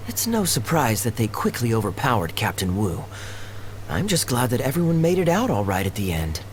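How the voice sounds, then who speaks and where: An actor playing a composed, tactful, wryly amused captain in a video game. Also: male voice, medium pitch, huge pitch swings, normal speed, slight background noise, low-quality recording. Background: background music.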